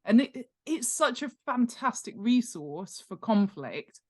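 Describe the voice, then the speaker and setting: A woman's voice: a little high, very animated, slow pace. A woman speaking as an interview guest, explaining her craft conversationally in an enthusiastic, emphatic way, with an easy laugh. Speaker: interview guest, world-building expert and author.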